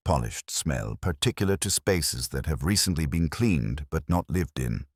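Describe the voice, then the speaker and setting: Very deep, measured male narrator. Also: no echo, studio quality. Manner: measured, melancholic, introspective. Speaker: narrator of a detective story. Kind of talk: first-person scripted narration, literary audio drama.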